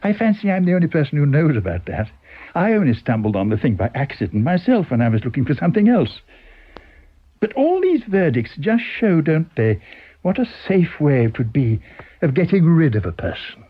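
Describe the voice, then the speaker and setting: A man acts out a mysterious stranger in an audiobook story, chatty and slyly sinister. The voice swoops animatedly and sits a little high, with a husky edge and insinuating pauses. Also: big pitch swings, lo-fi sound. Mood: sly, confiding. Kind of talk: dramatised audiobook reading.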